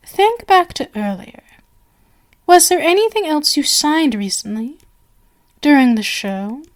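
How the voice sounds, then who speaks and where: Woman acting as female stage magician, ASMR roleplay, high voice, very slow pace, flowery, theatrical, sweetly menacing, possessive. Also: animated. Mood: playful, teasing. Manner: flowery, theatrical, coaxing endearments.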